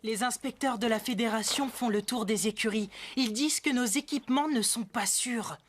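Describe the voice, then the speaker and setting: A woman's voice: on the high side, moderate pace, lightly acted. A woman voicing a serious, worried female ranch rider in a French cartoon dub. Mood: grave.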